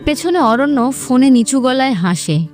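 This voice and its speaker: High-voiced woman narrating a romantic drama. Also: expressive, normal speed, darkish tone, soft background music. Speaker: story narrator.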